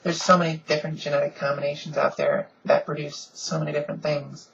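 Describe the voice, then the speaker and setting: A woman with a deep voice she softens a little, talking earnestly and casually in a home vlog with lots of ums. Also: flat delivery, fast, vocal fry, dull audio, slight background noise. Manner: frequent "um"s, earnest and conversational. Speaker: vlogger. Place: at home, in pajamas.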